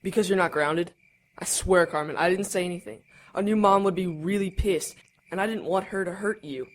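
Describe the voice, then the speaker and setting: A woman's voice: fairly low pitch, brisk, lightly acted. A woman playing a nervous, pleading younger brother, stammering and defensive, in an audio drama.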